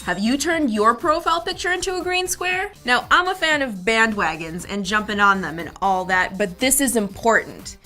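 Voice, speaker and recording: A woman's voice: fairly high pitch, big pitch swings, normal speed, hardly any pauses, pushed and bright, faint music behind. A woman hosting an online video show, presenting scripted commentary with wry, playful asides. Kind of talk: scripted, jokey presenting about animation videos.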